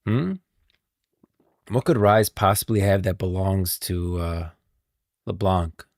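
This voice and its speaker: Casting: informal male live streamer talking through game lore, low-pitched with vocal fry, dry studio-like sound. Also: takes it slowly, a little mellow, no echo. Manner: informal, thinking aloud. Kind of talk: casual lore commentary.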